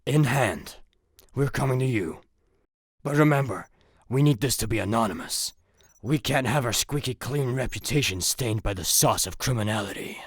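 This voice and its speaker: An actor playing a scheming, servile villain in a comedy sci-fi radio play, speaking in a measured, pompous way. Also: male voice, mid-range voice, expressive, husky, no room sound, clean studio sound. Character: smooth scheming male villain, servile to his doctor associate.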